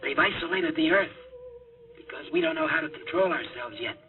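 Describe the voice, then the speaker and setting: An actor playing a frantic young rocket test pilot who pleads urgently, in a 1950s radio drama acting style, heard through a tinny old radio broadcast. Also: male voice, a little high, wildly swooping pitch, brisk, faint music behind. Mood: dazed.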